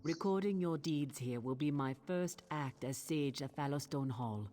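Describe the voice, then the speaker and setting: An actress playing a proud, earnest Nord storyteller in a fantasy game, speaking in a solemn, declamatory way. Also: female voice, sits low, animated, normal speed, heavy background noise. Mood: earnest, honored. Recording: game music loud behind the voice.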